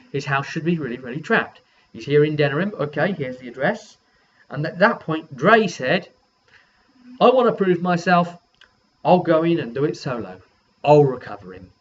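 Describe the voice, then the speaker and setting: A man tells the story of his tabletop game in a casual, animated manner, voicing the characters' remarks as he goes. His pitch sits in the middle range for a man. The recording is slightly dull, with faint noise behind him. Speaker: game master recapping a tabletop campaign.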